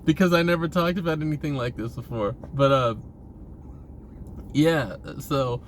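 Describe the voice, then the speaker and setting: A man's voice: on the high side, moderate pace. A man giving a casual, rambling personal monologue in an informal, profane way, chuckling now and then. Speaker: vlogger talking about himself. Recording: clear vehicle-like ambient noise.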